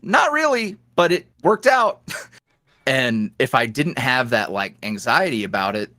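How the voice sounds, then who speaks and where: A man guest on a podcast, an ASMR roleplay creator, talking candidly in a rambling, filler-heavy way that swings from lively bursts to low mutters. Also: a little slow, no echo, studio quality. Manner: swings between animated highs and low mutters. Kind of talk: casual interview chat.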